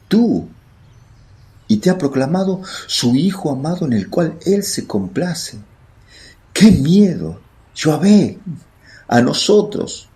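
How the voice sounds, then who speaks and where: A man's voice: mid-range voice, vocal fry, warm tone. A man playing a fearful, awed male apostle in a religious radio drama, speaking earnestly and emotionally. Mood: overwhelmed, awed.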